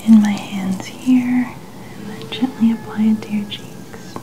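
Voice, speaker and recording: Slow, softly whispering ASMR woman. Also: medium pitch, fairly even intonation, poor audio. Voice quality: soft, mostly whispered. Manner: gentle, soothing, narrating each step. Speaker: ASMR creator. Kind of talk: ASMR personal-care role-play.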